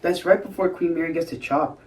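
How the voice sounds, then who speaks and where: A man's voice: a little high, steady tone, brisk, a little mellow, close to an everyday voice. A man playing a dryly joking cast member in a 1940s radio comedy. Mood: wry. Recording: distant from the mic.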